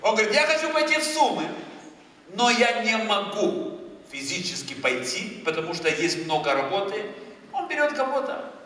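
Male preacher, 30s, Moldovan accent, high and bright, in a big echoey church hall, heard from a distance. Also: sing-song, moderate pace. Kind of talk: lively charismatic sermon. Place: large church hall with a stage.

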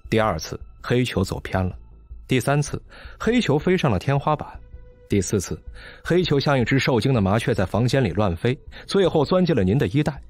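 A man's voice: medium pitch, darkish tone, slightly rough, no room sound. An actor playing a bitter, brilliant, hard-drinking physicist in an audio drama, sardonic and theatrical as he lectures. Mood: agitated, lecturing.

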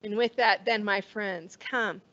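Middle-aged woman pastor, slow and solemn. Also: medium pitch, fairly even intonation, dull audio. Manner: measured, warm liturgical delivery with slow solemn phrases. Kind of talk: leading communion liturgy. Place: church sanctuary. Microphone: stand mic at a lectern, far camera.

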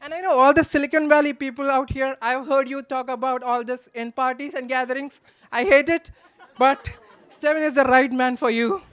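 A man in a notably high, smooth voice. He delivers a best man's toast at a wedding reception, reading from notes into a handheld mic. His delivery is teasing and fond, with a somewhat halting flow. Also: fairly even intonation, a bit bright, some chatter behind. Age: 20s. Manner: joking, affectionate, halting delivery. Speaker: best man, groom's brother. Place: wedding reception in a large draped marquee. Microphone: handheld microphone.

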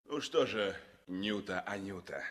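An actor playing a kindly, patient sailor teaching a child in a 1960s Soviet radio drama, with a husky voice. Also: male voice, on the low side, animated, measured pace, fairly bright tone. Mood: patient, playful.

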